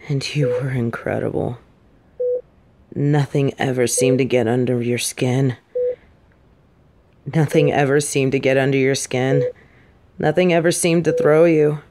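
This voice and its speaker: Female secret agent for audio roleplay, acted by a woman: stern yet devoted, lowish voice, slow emphatic delivery. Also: mellow. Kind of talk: dramatic intimate audio roleplay.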